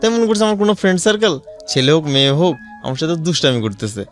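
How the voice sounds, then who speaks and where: A man with a Bangladeshi accent and a somewhat high voice tells a personal story in an informal interview style. He repeats phrases and restarts as he goes, checking in with his listener. Soft music plays behind him. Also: animated. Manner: repetitive, halting telling with frequent check-in questions. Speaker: guest telling his life story. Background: background music.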